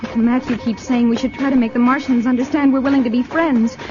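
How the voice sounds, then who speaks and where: A woman's voice: on the high side, steady tone, fast talker, natural voice. A woman playing an anxious young niece. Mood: pleading. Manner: pleading and urgent. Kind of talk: 1950s radio drama acting. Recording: dull old radio broadcast with music.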